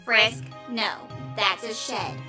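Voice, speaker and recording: A woman's voice: on the high side, fairly even intonation, slow pace, sharp tone, faint music behind, heavily acted. A woman voicing a small, bossy, cynical cartoon flower in a comic dub, snippy and sarcastic. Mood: dry, sarcastic.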